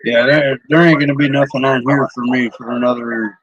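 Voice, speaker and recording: A man's voice: medium pitch, fairly even intonation, fairly quick, highs cut off, noisy background. A man casually chatting on his live stream as a CB radio hobbyist, laid-back and cussing freely while he putters around. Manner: laid-back, swears freely, mumbles to himself.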